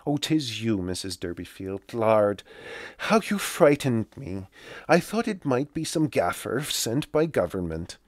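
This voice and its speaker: A man's voice: lowish voice, sing-song, a little slow, dry recording. A man voicing a flustered, glib country landlady in fussy rustic dialect for a storybook. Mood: startled.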